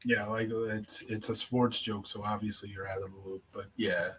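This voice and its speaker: A man co-hosting a wrestling podcast in relaxed, teasing chat, reviewing matches and tossing in short replies. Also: on the low side, measured pace, slight background noise. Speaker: podcast co-host.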